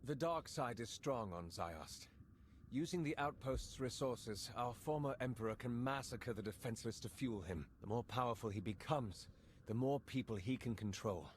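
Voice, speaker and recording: A man's voice: mid-range voice, lively intonation, moderate pace, a little hiss or hum, natural voice. A man playing a stern, dutiful imperial intelligence agent in a video game. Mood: stern, businesslike.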